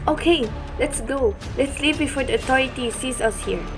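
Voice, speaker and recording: A woman's voice: high-pitched, moderate pace, over music, lightly acted. A woman voicing a caring young husband in a student radio play, earnest and concerned. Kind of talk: amateur radio play acting.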